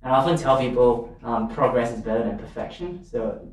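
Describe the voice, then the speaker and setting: A man's voice: mid-range voice, a little fast, far from the mic. A man from Malaysia with a Malaysian accent, a non-native English speaker, giving advice and telling stories conversationally. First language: not English, learned it as a third language. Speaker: podcast guest, volunteer advocate. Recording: very echoey room, off mic, faint noise.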